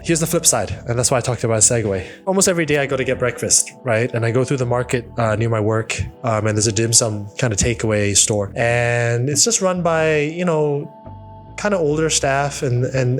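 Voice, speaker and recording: A man in his thirties hosting a casual solo podcast, telling a rambling story and laughing along, on a studio boom mic. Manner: animated, rambling, laughs at his own stories. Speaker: podcast host. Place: home studio with wooden wall. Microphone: studio mic on boom arm, headphones.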